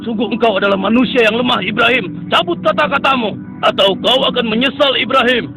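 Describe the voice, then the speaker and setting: A man voices a stubborn idol-worshipping townsman in a cartoon, high-pitched and fast-talking. He is scornful and threatening, with music under his lines. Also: pushed and bright, exaggerated voice. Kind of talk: dubbed cartoon acting.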